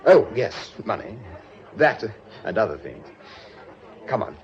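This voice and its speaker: An actor playing a flippant British gentleman suitor in a radio drama, with wry, clipped banter. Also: on the low side, sing-song, a little slow, highs cut off. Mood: teasing, flippant.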